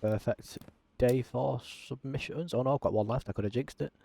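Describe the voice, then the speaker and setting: A man talking through a simulator game as a channel host, in a self-described monotone with frequent ums. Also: mid-range voice, normal speed, creaky, husky. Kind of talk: casual gameplay commentary.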